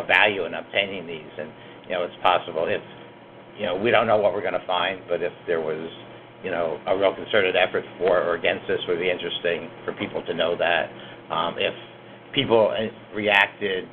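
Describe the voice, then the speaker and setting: A man hosting a student newsroom podcast round-table, measured and full of "you know" fillers. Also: deep voice, narrow, tinny audio, a little hiss or hum.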